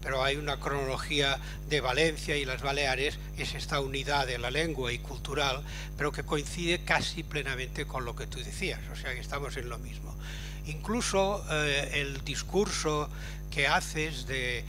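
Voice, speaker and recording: An older man from Barcelona, speaking up from the audience in a symposium discussion, thoughtful and halting with many pauses. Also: mid-range voice, music in the background. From Barcelona, Spain. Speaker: audience member asking a question. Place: lecture hall. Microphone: room microphone near a computer.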